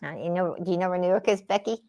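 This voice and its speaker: Woman, from the Bay Area, lowish voice, meandering casual livestream chat, painting in her home studio. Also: very fast, mellow. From San Francisco Bay Area. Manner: chatty and meandering, answering viewers' comments. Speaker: livestream host and spiritual artist. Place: home art studio under daylight lamps.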